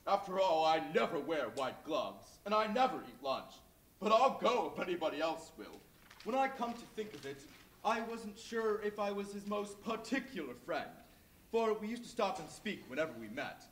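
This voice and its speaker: A man's voice: sits high, very animated, moderate pace, a bit bright, a little hiss or hum. A man playing a flippant businessman gossiping in a stage play. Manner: flippant, joking. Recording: echoey hall.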